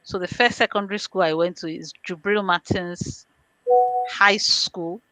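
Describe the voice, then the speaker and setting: A Nigerian-accented woman with a fairly low voice, recorded close and dry. She speaks as an interview guest, explaining conversationally in plain, step-by-step terms. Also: fairly even intonation, unhurried, bright, forceful tone, dry recording, poor audio. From born in London, grew up in Nigeria. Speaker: interview guest, information governance specialist.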